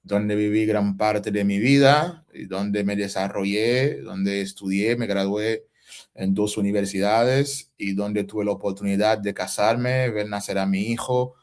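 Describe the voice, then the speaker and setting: A man's voice: lowish voice, fairly even intonation, measured pace, darkish tone. A man from Haiti with a Haitian accent touched by Dominican Spanish, a pastor explaining ideas patiently with everyday examples. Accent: Haitian with Dominican influence. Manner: didactic, uses everyday examples, rising questions. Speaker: pastor, guest. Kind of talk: reflective interview answers.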